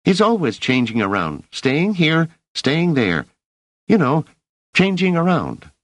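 A man's voice: fairly high pitch, big pitch swings, slow pace, a bit bright, no room sound, pitched above the performer's own voice, heavily acted. A man voicing a cocky, street-smart young boy who talks in slang and wheedles for favors, in a storybook reading. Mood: cheeky.

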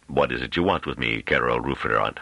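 A man as a very deep, controlled scholar. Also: fairly quick, vocal fry, fairly bright tone, no echo, dull audio, exaggerated voice. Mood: unmoved. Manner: controlled, emotionless, firm, formal. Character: small pale male scholar, unmoved and careful.

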